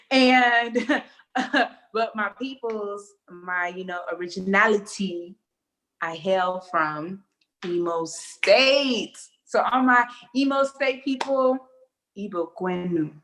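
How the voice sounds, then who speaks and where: A young woman hosts a casual online show, playful and animated, breaking into laughter as she reads comments aloud. Her voice sits at an ordinary middle pitch for a woman. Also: slow pace, a little hiss or hum, rough recording. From United States. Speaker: co-host. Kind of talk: casual live-stream chat.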